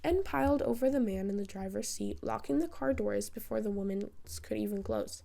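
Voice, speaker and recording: A woman reading fan fiction as a narrator, in an expressive, wry storytelling style. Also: mid-range voice, moderate pace, vocal fry. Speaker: podfic narrator.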